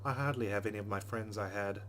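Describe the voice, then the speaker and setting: A man's voice: on the low side, fast, fairly bright tone, a little hiss or hum. A man reading a visual novel aloud as a let's player, slipping between narration and casual commentary.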